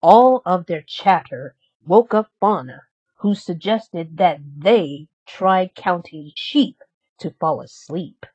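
A woman reading a children's story aloud as scripted narration, in a deliberate manner. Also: fairly low pitch, lively intonation, darkish tone, breathy edge. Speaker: storybook reader. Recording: slightly dull, lo-fi sound.

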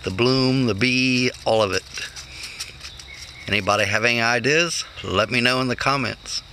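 Gravelly man vlogging in his garden. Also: medium pitch, normal speed, sharp tone, a little hiss or hum. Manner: amazed, chatty, thinking aloud. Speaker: homesteader vlogger. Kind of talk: casual handheld vlog. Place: outdoors in a backyard garden. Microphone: phone camera held close.

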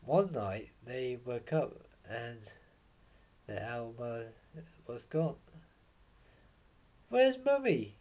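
A young man reading a children's picture book aloud in a halting way, stumbling over words now and then. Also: mid-range voice, a little mellow. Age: young adult. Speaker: bedtime story reader. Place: small room at home, indoors. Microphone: webcam or laptop mic at desk distance.

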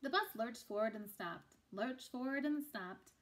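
A woman's voice: on the high side, expressive, unhurried, a little hiss or hum. A woman in her thirties reading a children's story aloud indoors. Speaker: picture book reader. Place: small room indoors.

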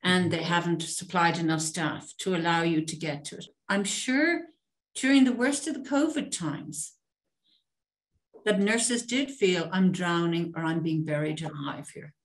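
An older woman, around her sixties, shares reflections as a nurse in an online discussion. Her voice is slightly low and conversational. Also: steady tone, measured pace. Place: room at home. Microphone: computer mic on a video call.

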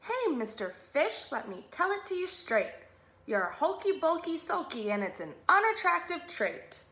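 A woman's voice: extremely high pitch, big pitch swings, pushed and bright, roomy sound, pitched above the performer's own voice, full character voice. A woman voicing a blunt male octopus in a children's story, scolding a friend in punchy rhyme. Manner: brisk, punchy rhyming rebuke. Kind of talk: children's storybook read-aloud.

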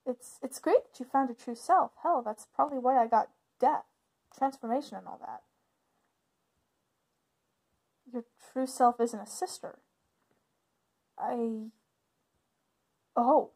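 A woman acts out a protective big sister from a witches' coven in an audio roleplay. Her voice sits high. She speaks informally and a little haltingly, always caring and reassuring toward her younger sibling. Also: darkish tone, husky. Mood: surprised, supportive. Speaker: voice actor in ASMR roleplay. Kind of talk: ASMR roleplay, conversational acting.

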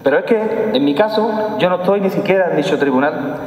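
A man's voice: sits high, expressive, brisk, a little mellow. A man in his forties with an Andalusian accent, giving an emphatic formal speech as a police chief, repeating key phrases. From Andalusia, Spain. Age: 40s. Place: large stone hall, indoors. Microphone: lectern microphone. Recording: echoing hall, long reverb, slightly dull sound.

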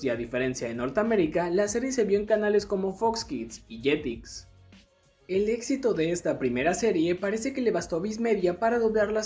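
A narrator with a Latin American Spanish accent delivers a scripted video essay. The intonation moves around in a lively way at a medium pitch, with music playing underneath. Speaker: narrator of an anime dubbing history video. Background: background music.

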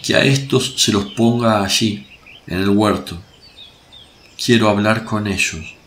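An actor playing a solemn, compassionate preacher, speaking in a measured, earnest way in a radio drama. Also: male voice, lowish voice, a bit bright, slight background noise.

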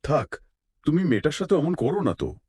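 Kindly poor village dad in a cartoon, soothing, voice moves up and down a lot, dry recording. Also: male voice, fairly high pitch, big pitch swings, brisk, a little mellow, slightly rough, no echo. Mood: mildly protesting. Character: gentle, indulgent poor village father. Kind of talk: cartoon voice acting.

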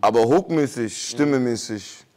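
A man on a jury giving relaxed, frank feedback on a rap performance. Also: mid-range voice, moderate pace. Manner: relaxed, frank critique with slang. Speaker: jury member. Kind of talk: casual feedback chat.

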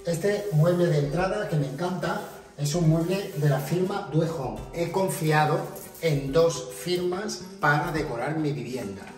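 A man's voice: fairly high pitch, unhurried. Spanish man giving an echoey house tour. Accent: Spanish from Spain. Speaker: vlogger presenting his home. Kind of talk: casual house tour narration. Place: indoor apartment rooms and balcony. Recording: echoey rooms, music underneath. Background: background music.

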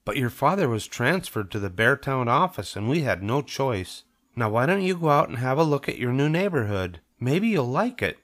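A gentle mother bear who reassures as she speaks, acted out by a male storyteller. The pitch sits raised, with an expressive rise and fall for a storybook. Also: moderate pace, dry recording. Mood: reassuring.

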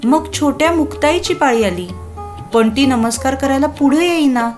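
A woman's voice: high-pitched, expressive, normal speed, darkish tone, faint music behind. A woman narrating a scripted children's story expressively, addressing young listeners with questions.

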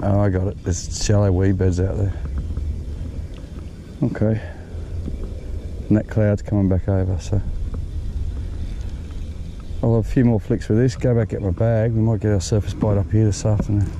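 A man's voice: fairly low pitch, flat delivery, normal speed, long pauses. A middle-aged Australian man giving casual running commentary to himself as he fishes along a lakeshore, recorded on a head-mounted camera. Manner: chatty, talking to himself while fishing. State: sweating from walking. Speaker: fishing vlogger. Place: outdoors on a grassy lakeshore. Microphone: head-mounted action camera. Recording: outdoor wind and ambient noise.

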